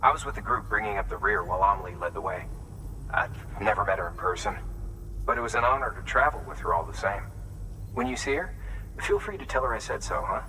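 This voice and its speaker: A man's voice: on the low side, quick pace, sharp tone, recorded from a distance, slight background noise, rough recording. An actor playing a humble, grateful male engineer in a video game, talking in an earnest, conversational way. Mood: warm admiration. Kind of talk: video game cutscene acting.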